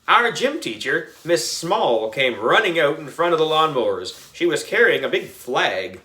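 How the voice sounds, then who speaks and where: A man narrating a children's story aloud in a playful, expressive, exaggerated way. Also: fairly high pitch, measured pace. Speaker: audiobook reader.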